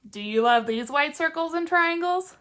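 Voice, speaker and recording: Female read-aloud teacher: high, lively, expressive, addresses children directly with questions. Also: normal speed. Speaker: teacher reading a picture book aloud.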